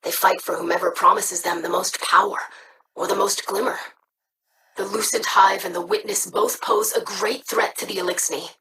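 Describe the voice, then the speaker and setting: A woman's voice: medium pitch, steady tone, normal speed, sharp tone, recorded from a distance, slight background noise, natural voice, rough recording. An actress playing an earnest, thoughtful young female alien scholar in a game, speaking formally. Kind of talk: game character dialogue.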